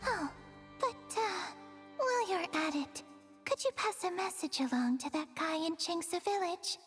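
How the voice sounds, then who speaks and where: A wry, caring treasure guide from a video game. The voice is high and rings with long reverb over quiet music. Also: female voice, expressive, unhurried, full character voice. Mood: concerned, wry.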